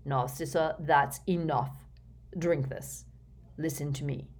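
Storybook role: controlled, cold male spy , performed by a woman narrator, measured and flat, with slow, quiet authority. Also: low voice. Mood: controlled, guarded.

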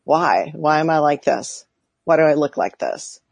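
Female guest coach, husky and dry, thinks aloud, warm laughter. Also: deep voice, fairly even intonation, measured pace, no echo. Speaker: podcast guest, life coach. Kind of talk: casual interview chat.

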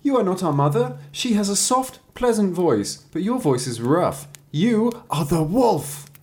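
A man's voice: high-pitched, sing-song, unhurried, darkish tone, voice pushed up. A man voicing young goat kids from a storybook, earnest and indignant. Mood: defiant. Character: little goat kids, high-voiced, defiant storybook youngsters.

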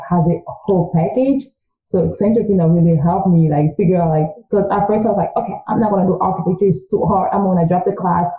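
Young french-speaking woman from congo, chatty and earnest, low-ish voice, sounds like a phone line. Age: young adult. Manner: earnest, chatty, quoting her own thoughts aloud. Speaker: guest, recent college graduate. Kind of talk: casual interview talk. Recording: tinny phone-like line, echoey room.

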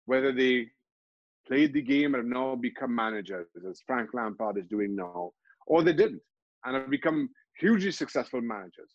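A middle-aged man from Trinidad and Tobago, a football pundit, answering interview questions in a halting way, restarting and repeating words often. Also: medium pitch, dry recording, highs cut off. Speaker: football pundit and show host.

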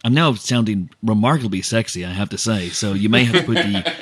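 A low male voice, hoarse and husky as if worn out from shouting, recorded close and dry. He talks casually as a guest on a film podcast. He rambles, breaks into his own sentences and keeps things jokey. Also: lively intonation, brisk, dry recording. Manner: rambling, self-interrupting, jokey.

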